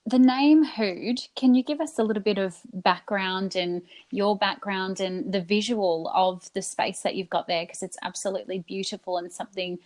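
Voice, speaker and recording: A woman's voice: medium pitch, fairly even intonation, normal speed, hardly any pauses, a little mellow. A woman hosting a podcast, affirming her guest and asking long conversational questions. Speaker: podcast host. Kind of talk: interviewer, conversational.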